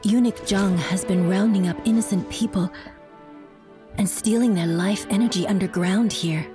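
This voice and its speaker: A woman's voice: medium pitch, moderate pace, music in the background, natural voice. A young woman playing a polite, earnest noblewoman in a video game, speaking courteously and formally. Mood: grateful, grave. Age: young adult. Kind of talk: video game cutscene acting.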